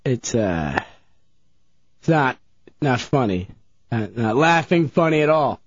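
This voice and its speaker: A man co-hosting a radio show, whining and complaining in a husky voice. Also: mid-range voice, lively intonation, slow, no room sound. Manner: whiny, complaining. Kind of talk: casual radio banter. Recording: dull lo-fi radio recording.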